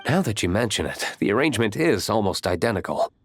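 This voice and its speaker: Aloof, low-voiced brooding young man. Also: fast, soft background music. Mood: dry, matter-of-fact. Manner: dry, deadpan. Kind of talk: video game voice acting.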